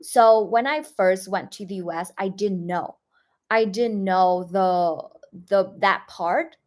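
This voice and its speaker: Young woman, Northern Vietnamese accent, English as a second language, casual livestream storytelling, lots of "like" fillers, mid pitch. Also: takes it slowly. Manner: chatty, many "like" fillers and restarts. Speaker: YouTuber.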